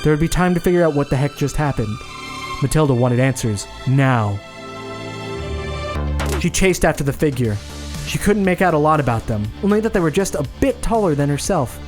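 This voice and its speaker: A man with a fairly high voice hosts a comedy podcast. Loud music plays behind him as he speaks. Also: animated, moderate pace. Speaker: podcast host. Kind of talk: dramatic scripted narration, then casual chat.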